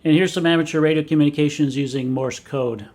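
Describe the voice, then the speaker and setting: Man, slightly high voice, scripted technical narration, measured, methodical, matter-of-fact. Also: steady tone, darkish tone, slight background noise. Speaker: vintage radio hobbyist and presenter.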